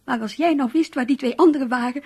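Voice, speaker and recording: A shaken, fretful wife in a radio drama. Her voice is high and fearful, pleading with the man she loves. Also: fast talker, darkish tone, breathy edge. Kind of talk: Dutch radio play acting.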